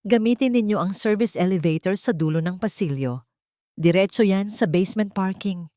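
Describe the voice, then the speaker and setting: A woman's voice: mid-range voice, normal speed, dry recording, studio quality, lightly acted. Casting: woman as kind old woman, a nurse in an audio melodrama, urgent but caring. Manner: hushed, instructing. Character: kindly old female nurse, protective and urgent.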